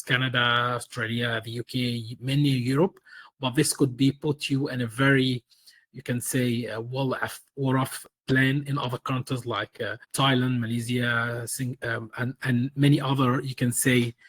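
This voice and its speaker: Man, 40s, from Egypt, webinar presenter; husky, close and dry sound, hesitant delivery. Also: mid-range voice, dry recording. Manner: halting, many fillers and restarts. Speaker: webinar presenter, tax expert.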